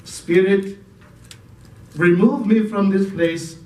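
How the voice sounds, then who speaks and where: A man's voice: high voice, takes it slowly, a little mellow. A man playing a remorseful old miser in a storybook reading, pleading and agitated. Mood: anguished pleading. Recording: echoey room, distant microphone.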